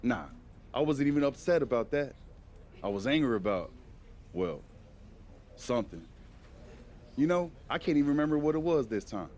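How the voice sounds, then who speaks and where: A man's voice: mid-range voice, big pitch swings, normal speed, long pauses, darkish tone, slight background noise, lightly acted. A man playing a guarded older-brother wrestler, speaking blunt American slang in a video game cutscene. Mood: easing up. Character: gruff, guarded big-brother wrestler with a grudge.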